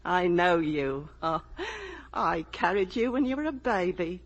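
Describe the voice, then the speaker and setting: A woman's voice: on the low side, lively intonation, unhurried, dull audio. An actress with an American accent playing a doting, bossy older mother in a 1950 radio drama. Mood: fussing, insistent.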